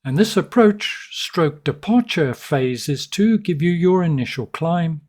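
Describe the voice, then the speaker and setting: A man's voice: a little high, expressive, a little slow, darkish tone. A man narrating an instructional tutorial in an orderly, explanatory way. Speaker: flight sim tutorial narrator.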